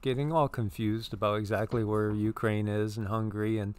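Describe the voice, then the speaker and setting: A man's voice: on the low side, flat delivery, a little fast, a little mellow, clear, resonant tone. A man co-hosting a casual spiritual commentary show, talking in a rambling, earnest way and often repeating words. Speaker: co-host.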